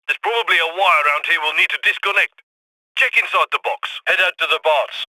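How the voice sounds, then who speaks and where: A brisk heist planner on comms. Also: male voice, on the high side, sharp tone, no echo, poor audio. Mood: focused. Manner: brisk, commanding instructions. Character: heist planner man giving radio orders. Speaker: heist coordinator. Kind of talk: video game voice acting.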